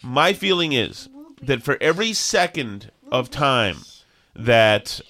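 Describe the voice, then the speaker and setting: Man, hoarse, coughing, sarcastic ranting co-host, bright tense voice. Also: medium pitch, big pitch swings, slow. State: losing his voice, coughing. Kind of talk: casual live-stream chat.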